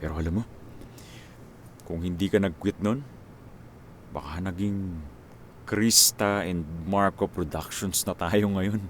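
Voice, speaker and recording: A man acting in a Filipino radio drama as a famous action star, sentimental and devoted to his former screen partner, speaking in a sincere, halting way. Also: deep voice, steady tone, slight background noise. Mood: wistful. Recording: music behind the voice.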